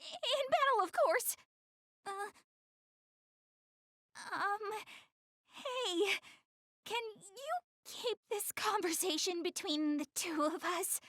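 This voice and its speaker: A woman's voice: very high voice, expressive, takes it slowly, long pauses, sharp tone, dry recording, clean studio sound, full character voice. A woman playing a proud, clumsy, secretly caring anime girl in a video game, chatty, defensive and easily flustered. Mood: flustered, secretive. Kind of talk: English-dubbed anime video game cutscene acting.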